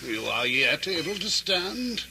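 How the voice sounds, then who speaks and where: An actor playing a sly, cruel nobleman in a radio drama, speaking with pompous mock courtesy and sinister smoothness. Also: fairly high pitch, very animated, unhurried, full character voice. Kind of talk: theatrical radio drama acting. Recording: noisy vintage radio drama recording.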